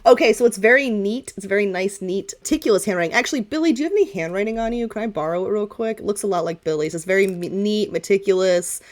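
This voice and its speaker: A woman's voice: medium pitch, expressive, quick pace, few pauses. A woman runs a tabletop game as game master, improvising as she goes. Her delivery is informal and rambling, and she often backtracks to correct herself mid-thought.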